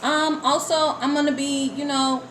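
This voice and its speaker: A woman's voice: high voice, flat delivery, normal speed, fairly bright tone. A woman chatting casually as an interview guest, earnest and motivational in her manner. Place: indoor room at a live online broadcast. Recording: roomy live sound, faint noise.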